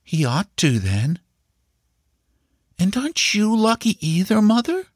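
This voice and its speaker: A man's voice: sits high, huge pitch swings, slow pace, no echo, clean studio sound, voice pushed up, exaggerated voice. A man voicing a timid, earnest young boy full of questions in an audiobook story. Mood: earnest questioning.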